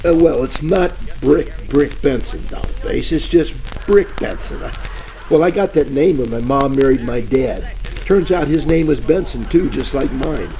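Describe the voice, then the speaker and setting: An actor playing a bumbling, boastful private detective in a parody of 1940s radio drama, telling rambling stories in hammy slang. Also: male voice, medium pitch, animated, unhurried, dark tone, rough recording. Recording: old-time radio with music behind.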